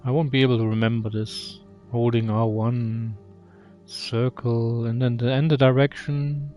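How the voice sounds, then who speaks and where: A man's voice: medium pitch, little pitch movement, measured pace, highs cut off, soft background music. A man giving casual gameplay commentary, musing aloud, reading menu text and asking himself questions. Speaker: video game player and channel host.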